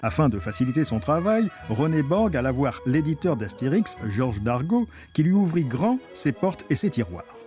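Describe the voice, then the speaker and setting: A man's voice: on the high side, very animated, moderate pace, mellow, soft background music. A man narrating a documentary from a script.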